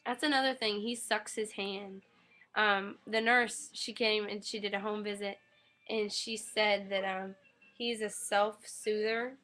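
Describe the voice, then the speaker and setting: A young woman recovering from an illness, her voice a little raspy, rambling casually about her newborn son with lots of ums. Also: mid-range voice, steady tone, measured pace, sharp tone. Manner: rambling, many ums, coos baby talk to her infant. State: getting over an illness. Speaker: new mother vlogger. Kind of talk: casual webcam update. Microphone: webcam mic.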